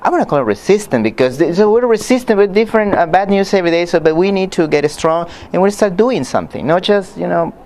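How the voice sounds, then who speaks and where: A man conducting a conversational interview in non-native English, often halting as he searches for words. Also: sits high, animated, a little mellow. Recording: noticeable background noise.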